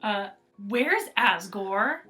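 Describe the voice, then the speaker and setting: A woman's voice: mid-range voice, expressive, very slow, sharp tone, soft background music. A woman reading out questions as a co-host, with a casual, teasing and informal way of talking. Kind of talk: casual playful banter.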